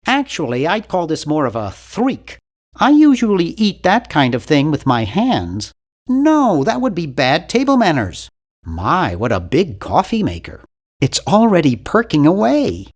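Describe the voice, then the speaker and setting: A nerdy nasal american kid genius for a cartoon game, voice jumps all over, awkward n fussy n excitable. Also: male voice, on the high side, measured pace, heavily acted. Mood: fussy, earnest. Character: nerdy, nervous, earnest young man, brainy geek. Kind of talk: cartoon adventure game voice acting.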